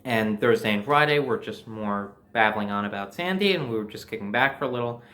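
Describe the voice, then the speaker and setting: A man's voice: on the low side, lively intonation, brisk, fairly bright tone. Young American man telling a story casually. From New Jersey, United States. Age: young adult. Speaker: storyteller, host.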